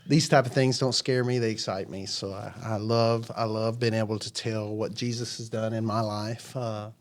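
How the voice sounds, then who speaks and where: A man's voice: fairly low pitch, steady tone, moderate pace. A man in his forties, a ministry founder, telling his story as a podcast guest in an earnest, rambling way with many restarts. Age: 40s. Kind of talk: casual chat.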